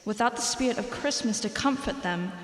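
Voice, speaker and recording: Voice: mid-range voice, a little fast, close to an everyday voice. A performer playing a solemn, stern spirit who admonishes a miser in a declamatory radio drama style. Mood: grave warning. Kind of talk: school radio drama acting. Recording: echoey hall with long reverb.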